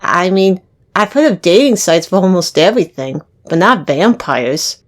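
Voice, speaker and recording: A woman's voice: lowish voice, animated, moderate pace, natural voice. A woman playing a casual, inquisitive young friend on a phone call in an audio drama. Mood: curious, puzzled.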